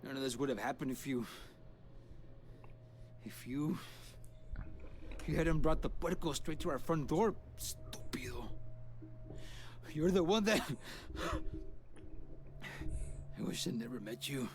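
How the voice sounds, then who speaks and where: A man's voice: medium pitch, animated, unhurried, long pauses, soft background music. A man voicing a bitter, badly wounded gang member in a video game, weak and gasping, with a Mexican accent. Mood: bitter, failing. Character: dying, bitter, bleeding gang man cursing his killer.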